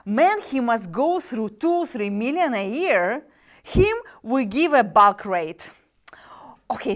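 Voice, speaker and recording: A woman's voice: high voice, full character voice. A woman playing a wisecracking demon sub-agent who deals in souls with breezy, mocking sales patter in a dramatic script reading.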